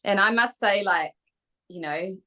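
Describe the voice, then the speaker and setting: A woman's voice: medium pitch, measured pace, pushed and bright. A middle-aged woman with a New Zealand accent, speaking casually and openly as an interview guest in a chatty, reflective way. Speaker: guest, kinesiologist.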